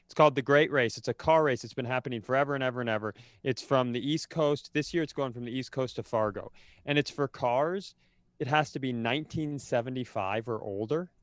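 A man's voice: mid-range voice, fairly quick, no echo. A man co-hosting a podcast, telling stories casually in a rambling, self-interrupting way with dry, self-deprecating humour. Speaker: podcast co-host. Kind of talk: casual comedic chat and storytelling.

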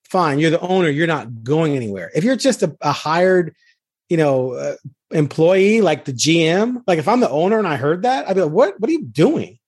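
Higher-pitched man hosting a sports podcast. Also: big pitch swings, normal speed, darkish tone, breathy edge, no echo, clean studio sound. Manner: emphatic, punchy, asks rhetorical questions. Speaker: podcast host, sports beat reporter. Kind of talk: conversational sports commentary.

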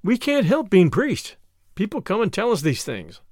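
A man's voice: on the high side, sing-song, moderate pace, no echo, clean studio sound. A man voicing a small, mild, shrewd country priest in a storybook, speaking simply with a timid, apologetic manner. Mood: unaffected, rueful.

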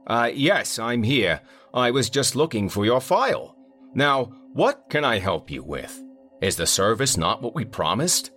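A man's voice: medium pitch, big pitch swings, unhurried, fairly bright tone, soft background music, pitched above the performer's own voice. A man voicing a harried, middle-aged male manager who keeps up a strained, polite customer-service tone. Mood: impatient.

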